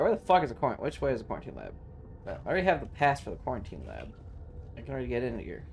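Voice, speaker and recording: Guy on a game stream, croaky fry in his voice, swears a lot, slangy jokey chatter, music going behind. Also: medium pitch, a little mellow. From Southern United States. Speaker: livestreamer. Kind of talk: casual game stream chat and commentary.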